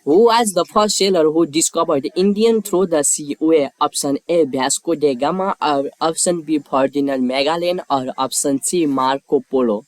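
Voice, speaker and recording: A man's voice: fairly high pitch, moderate pace, hardly any pauses, no room sound, low-quality recording. A man reading scripted quiz questions and lettered answer options as a narrator.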